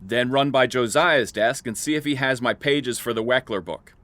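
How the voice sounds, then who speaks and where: A man's voice: medium pitch, normal speed, fairly bright tone, natural voice. A man playing a demanding, ruthless middle-aged boss and father in an audio drama, brusque, bossy and sarcastic. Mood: bossy, brisk.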